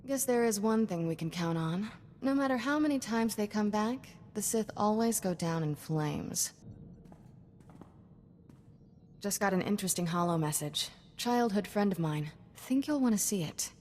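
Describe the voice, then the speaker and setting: A woman plays a cocky young female Jedi sidekick in a video game. She banters in a wry, breezy, clipped way at a middling pitch, with long reverb and a faint rumble. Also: expressive, moderate pace, darkish tone, close to an everyday voice. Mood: sardonic.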